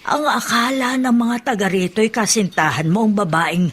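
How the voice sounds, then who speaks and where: A woman's voice: mid-range voice, animated, normal speed, husky. An actress playing a kindly, welcoming elderly Filipino grandmother in a radio drama.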